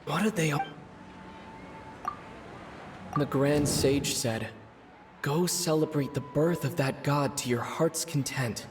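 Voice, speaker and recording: A man playing a pensive young traveler in a video game, musing deliberately in an echoing inner monologue. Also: a little high, background noise. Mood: pensive, uneasy. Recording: long echoing reverb. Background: music.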